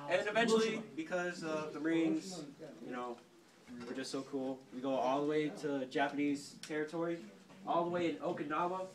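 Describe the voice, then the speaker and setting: A young man telling history informally to a small group in a cocky, flippant, halting way. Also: on the high side. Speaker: storyteller host playing a cadet gunnery sergeant. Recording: distant mic, clear background chatter. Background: several people chattering and interjecting.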